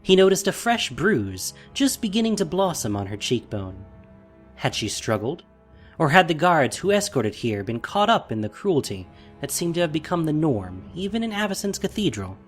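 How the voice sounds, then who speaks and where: A man narrating a scripted audio drama in an expressive, theatrical manner. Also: on the high side, normal speed, faint music behind.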